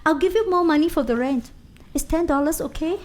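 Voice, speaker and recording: An actress playing a meek, earnest young seamstress in a radio play, polite and pleading. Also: female voice, high-pitched, fairly quick, lightly acted. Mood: anxious, pleading.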